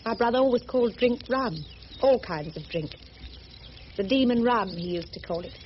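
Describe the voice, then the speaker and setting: Woman acting high-voiced, prim spinster. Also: lively intonation, moderate pace, lightly acted. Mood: wistful. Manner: proper, earnest, gently insistent. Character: prim, earnest, sheltered missionary spinster with quiet resolve. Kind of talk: radio drama acting. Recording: muffled, noisy old radio recording.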